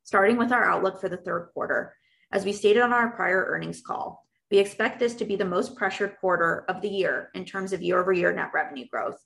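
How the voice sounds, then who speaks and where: A woman presenting company financial results as an executive, reading prepared remarks in a formal, measured way. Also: medium pitch, vocal fry, fairly bright tone, rough recording. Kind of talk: scripted earnings call presentation.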